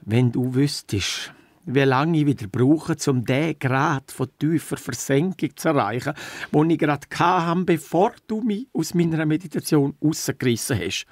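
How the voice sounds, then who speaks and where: Children's audio drama: an intellectual, easily annoyed male earthworm, Swiss accent, pompous delivery, fairly high voice, dry studio. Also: expressive, measured pace, dry recording. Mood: weary, smug. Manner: pompous, precise, long-suffering. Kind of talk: children's radio play acting.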